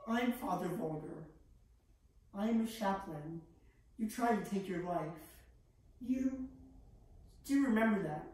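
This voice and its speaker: Teen girl doing earnest gentle chaplain, distant mic, big echo. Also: medium pitch, lively intonation, slow, pauses often. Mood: gentle concern. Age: teenager. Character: mild, earnest male priest, a chaplain. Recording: echoey room, distant microphone.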